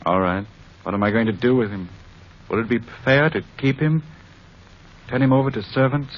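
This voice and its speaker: A man's voice: mid-range voice, steady tone, normal speed, close to an everyday voice. An actor playing a troubled, tender father in an old-time radio drama. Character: troubled, tender father abandoned by his wife. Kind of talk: 1940s-style radio drama acting. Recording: dull, noisy old radio recording.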